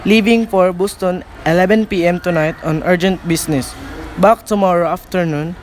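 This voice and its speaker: A man's voice: sits high, fairly even intonation, moderate pace, a little hiss or hum, lightly acted. A man playing a businesslike telegram clerk in an amateur radio play, reciting formally. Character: brisk, formal telegram clerk reading out a message.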